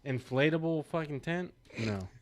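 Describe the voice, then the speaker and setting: A man teasing his friends on a podcast with dry jokes. His voice is slightly high and moves around a lot in pitch. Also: lively intonation, moderate pace. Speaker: podcast co-host. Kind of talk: casual chat.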